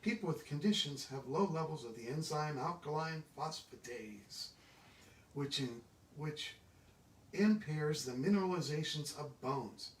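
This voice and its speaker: Male book reader, slightly high voice, reading aloud, roomy and distant recording. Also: expressive, measured pace. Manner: stumbles over long words. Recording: echoey room, distant microphone.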